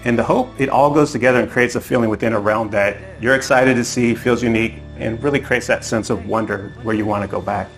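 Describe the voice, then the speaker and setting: A man's voice: mid-range voice, fairly even intonation, fast talker, few pauses, soft background music, low-quality recording. A man speaking as a lead concept artist in a documentary-style interview.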